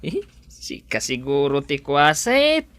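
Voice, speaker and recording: Childlike village simpleton for radio comedy. Drawling, very slow, questioning, dry recording. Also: male voice, fairly high pitch, wildly swooping pitch, a bit bright, no room sound, heavily acted. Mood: teasing. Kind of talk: Ilocano radio comedy drama acting.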